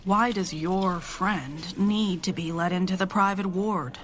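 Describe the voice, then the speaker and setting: An actress playing a stern, reluctant head nurse in a radio drama, formal and scolding, with an air of authority. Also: female voice, fairly low pitch, steady tone, measured pace, natural voice.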